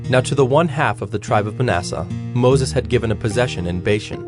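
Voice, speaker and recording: A man giving a measured, formal narration of scripture as the narrator of a dramatized audio production. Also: mid-range voice. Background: instrumental music with plucked strings.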